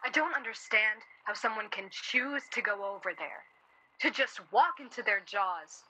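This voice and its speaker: Woman acting a bright, snippy young wife. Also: mid-range voice, moderate pace, slightly rough, rough recording. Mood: bitter, worried. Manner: snippy, sardonic. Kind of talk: radio play dialogue.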